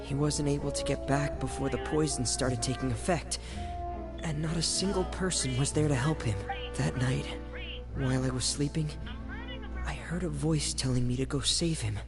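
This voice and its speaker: An actor voicing a shy, reserved young man in a game cutscene, speaking softly and haltingly over game music. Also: a little high, lightly acted. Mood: guarded. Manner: soft, hesitant, halting. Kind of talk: video game cutscene voice acting.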